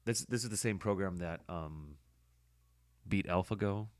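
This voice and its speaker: A man with a hoarse voice, casually talking through his editing work as a podcast host, thinking aloud with fillers. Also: sits low, a little slow, no echo. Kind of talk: casual screen-recording commentary.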